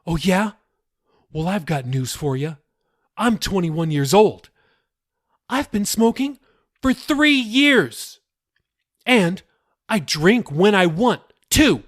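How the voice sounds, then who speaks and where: A man voicing a furious twenty-one-year-old daughter who shouts at her father in a high, strained voice. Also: animated, slow, long pauses, exaggerated voice. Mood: defiant.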